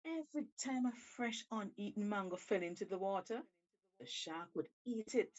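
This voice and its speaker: A woman storyteller reading a tale aloud with deliberate, theatrical emphasis. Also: medium pitch, darkish tone, dull audio. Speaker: storyteller, show host. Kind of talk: expressive scripted storytelling.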